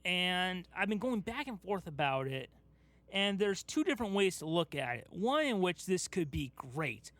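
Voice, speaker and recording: Higher-pitched man, explanatory podcast host. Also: very animated, moderate pace, a bit bright, no echo. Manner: explanatory, emphatic, talks through an argument step by step. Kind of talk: casual pop culture discussion.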